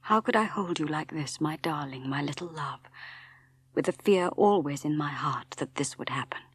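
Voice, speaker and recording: Woman voicing grim, hushed tormented Englishman. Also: sits low, animated, breathy edge. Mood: anguished confession. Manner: hushed, grave, halting and intense. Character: grim, tormented middle-aged Englishman confessing to his wife. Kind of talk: dramatic audiobook character reading.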